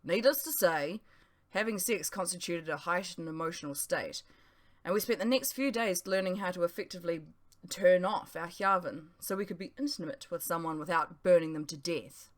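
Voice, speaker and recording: A woman reading her own novel aloud as expressive first-person narration. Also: on the low side, normal speed. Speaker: author reading own audiobook.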